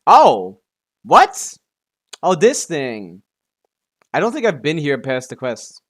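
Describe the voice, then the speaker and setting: A man's voice: fairly high pitch, huge pitch swings, slow pace. A man giving casual, offhand live commentary as a game streamer, muttering to himself and swearing freely.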